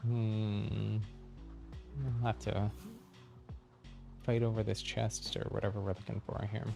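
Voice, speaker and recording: A man's voice: fairly low pitch, flat delivery, takes it slowly, gravelly, over music. A man giving casual gameplay commentary on a livestream, explaining things as he goes and thinking aloud. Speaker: game livestreamer.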